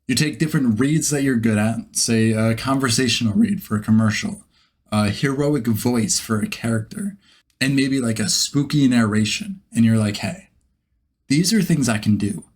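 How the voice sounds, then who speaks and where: A male vlog host with a medium-pitched voice and a slightly husky edge. He talks casually and conversationally, like someone explaining a project as he goes. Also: moderate pace. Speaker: video host, beginner voice actor.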